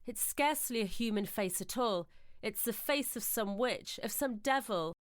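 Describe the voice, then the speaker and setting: A woman's voice: fairly high pitch, lively intonation, normal speed, no room sound, clean studio sound, pitched above the performer's own voice, lightly acted. A woman playing an uneasy, earnest male guest haunted by dread, with a dramatic manner, in an audio drama.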